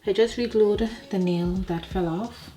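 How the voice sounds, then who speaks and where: A woman's voice: medium pitch, measured pace, warm tone, roomy sound, faint music behind. A woman vlogger chatting casually and rambling to her viewers from home. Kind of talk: casual personal vlog chat.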